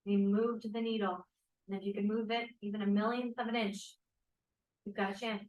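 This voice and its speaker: A woman's voice: medium pitch, flat delivery, moderate pace, natural voice. A woman playing an earnest, hopeful activist in a scripted play reading. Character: earnest, hopeful woman activist looking back on her cause. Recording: thin phone-like sound.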